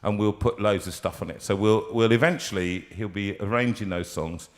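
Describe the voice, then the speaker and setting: A man's voice: lowish voice, moderate pace. A man, a singer, chatting casually on a live stream from a music room, rambling with many fillers and restarts.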